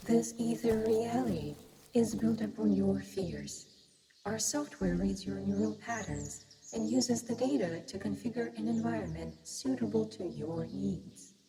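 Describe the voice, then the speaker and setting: Cryptic therapist in cavernous reverb. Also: female voice, medium pitch, unhurried, gravelly, music in the background. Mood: calm, cryptic. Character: calm, cryptic female therapist guiding a virtual-reality fear session. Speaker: actor. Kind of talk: radio theatre acting. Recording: long cavernous reverb, busy sound bed.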